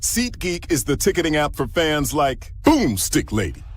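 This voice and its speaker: A man's voice: on the high side, sing-song, moderate pace, over music. A man reading a scripted commercial as an announcer. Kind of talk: scripted ad voice-over.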